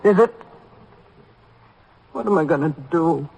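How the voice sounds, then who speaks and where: An actress playing a distraught, bitter working-class mother in an old radio drama, halting, plaintive and accusing. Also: fairly low pitch, animated, creaky, darkish tone, slightly rough, exaggerated voice, low-quality recording. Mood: bitter, despairing. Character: grief-stricken, bitter working-class mother of grown sons. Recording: vintage radio drama recording.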